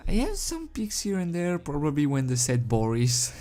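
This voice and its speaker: A man who is not a native English speaker, reading and commenting as an amateur in a halting, giggly, self-deprecating way. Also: a little high, wildly swooping pitch, darkish tone. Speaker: amateur fan fiction reader. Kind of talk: story reading with casual commentary. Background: background music.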